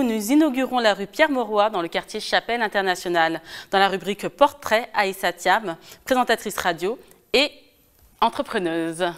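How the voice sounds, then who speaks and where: Upbeat woman TV host, smooth voice. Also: mid-range voice, dry recording. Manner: upbeat, complimentary. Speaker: TV show host. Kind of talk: TV magazine presenting and interviewing.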